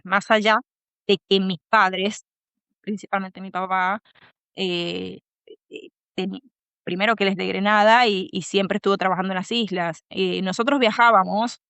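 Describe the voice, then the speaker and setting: A woman from the Dominican Republic, a guest in an interview, talking thoughtfully and pausing to find her words. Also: mid-range voice, vocal fry, a bit bright, smooth, dry recording, lo-fi sound. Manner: thoughtful, pauses to search for words. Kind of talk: conversational interview.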